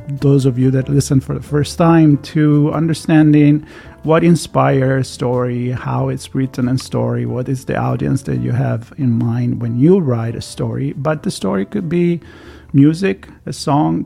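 Man, podcast host, gravelly tone, mid-pitched, rambling chatty delivery, video call audio. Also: mellow. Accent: Italian. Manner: rambling, with frequent restarts and fillers. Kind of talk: conversational interview.

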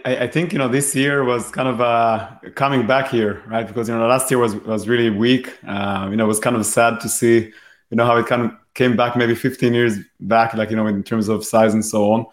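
A man answering as an interview guest, his voice rough and worn, his phrasing halting and casual. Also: mid-range voice, fairly even intonation. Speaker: podcast guest. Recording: echoey room.

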